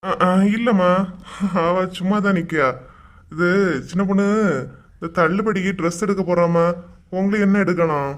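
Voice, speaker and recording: This is a woman acting as a henpecked husband and son in a comedy cartoon. The voice sits slightly low for a woman. It placates and hushes in a Kanyakumari Tamil accent. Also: steady tone, normal speed, pauses often, lightly acted. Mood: placating. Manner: placating, hushing.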